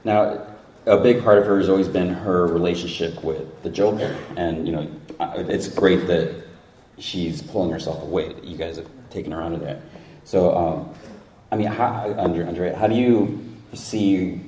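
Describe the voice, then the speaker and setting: A man moderates a convention panel, asking long, winding questions. His voice is very deep and mellow. He is heard from a distance in an echoey room with faint hum. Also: fairly even intonation, normal speed. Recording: echoey room mic from afar.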